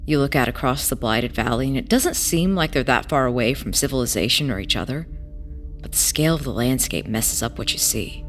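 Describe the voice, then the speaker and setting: A woman's voice: a bass voice, expressive. Audio drama role: tired ex-smuggler played by a woman, hesitant and reflective storyteller with music underneath. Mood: reflective, storytelling. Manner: hesitant, reflective, wistful, with pauses. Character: weary, idealistic former smuggler recounting their past. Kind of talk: audio drama acting, halting first-person testimony.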